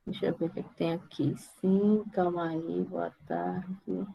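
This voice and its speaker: A woman's voice: fairly low pitch, takes it slowly, vocal fry, highs cut off. A woman with a Brazilian accent teaching informally in a live online class, often stopping to question and check on her students. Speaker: mathematics teacher.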